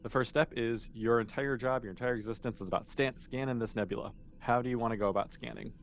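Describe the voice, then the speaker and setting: A man's voice: medium pitch, steady tone, fast, slight background noise. A man speaking casually and informally as a game master, explaining and narrating a tabletop roleplaying game.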